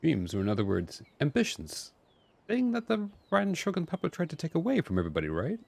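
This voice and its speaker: A man's voice: on the high side, wildly swooping pitch, quick pace, vocal fry, darkish tone, no echo. A man giving casual live stream commentary as he plays a game and chats. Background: game music.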